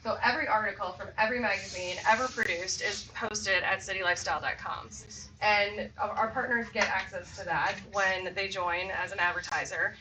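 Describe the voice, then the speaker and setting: A woman's voice: medium pitch, fairly even intonation, a little fast, pushed and bright. A woman with an upbeat, salesy manner answers audience questions as a magazine publisher giving a presentation. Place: event room with audience. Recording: roomy far-off mic, faint noise.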